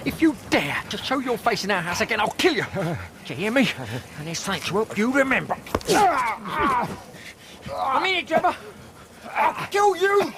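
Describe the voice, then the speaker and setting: An actor in a radio drama playing a protective, hot-headed young man with a forceful, threatening manner. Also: very high-pitched, big pitch swings, normal speed, long pauses, full character voice. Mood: furious threat. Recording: very loud background noise.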